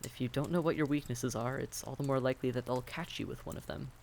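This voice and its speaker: A man playing a friendly, patient vampire innkeeper in a roleplay audio drama, giving gentle, folksy, reassuring advice straight to the listener. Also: medium pitch, steady tone, fast, creaky, slight background noise, natural voice. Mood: advising, encouraging. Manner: gentle, folksy, politely advising.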